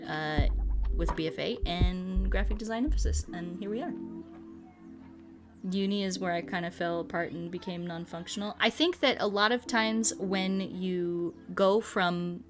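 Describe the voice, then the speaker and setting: A young woman with a slightly low voice talks casually to her livestream viewers. She muses out loud in a conversational way while music plays clearly behind her. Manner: conversational, musing, answers viewer comments. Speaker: livestream host. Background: background music.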